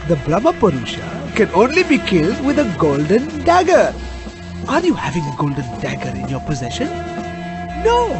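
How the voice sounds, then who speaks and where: High-pitched, gleeful Indian vampire cabbie. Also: male voice, huge pitch swings, a little slow, music in the background, full character voice. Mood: politely menacing. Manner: theatrically polite, savoring each menacing phrase. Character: gleeful, mock-polite Indian vampire cab driver, man. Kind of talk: horror-comedy radio drama acting.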